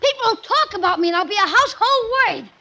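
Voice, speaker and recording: A man's voice: very high voice, sing-song, fast, vocal fry, bright, forceful tone, highs cut off, full character voice. A man playing a cheeky, streetwise young boy with a sarcastic manner in 1940s radio comedy. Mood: mock-grand, sarcastic. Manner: wisecracking, sarcastic. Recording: very noisy old 1940s radio recording.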